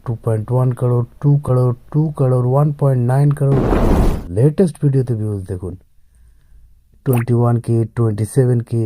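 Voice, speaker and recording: A man's voice: mid-range voice, steady tone, fast talker, dark tone, a little hiss or hum, rough recording. A man narrating a step-by-step screen tutorial as host, often repeating himself and addressing viewers as friends.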